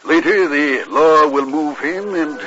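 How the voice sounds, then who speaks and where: An actor playing an earnest, businesslike railroad president in a 1950s western radio drama. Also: male voice, a little high, slow pace, heavy background noise. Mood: earnest, planning. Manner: businesslike, explanatory. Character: earnest male railroad president in the Old West. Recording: muffled old radio recording.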